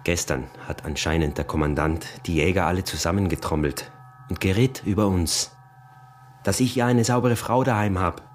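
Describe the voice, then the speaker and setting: Male actor doing deep-voiced austrian peasant smuggler, sly scheming husband, pushy, old-time village radio play. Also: moderate pace, slight background noise, close to an everyday voice. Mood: agitated, suspicious. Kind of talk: radio drama acting in dialect.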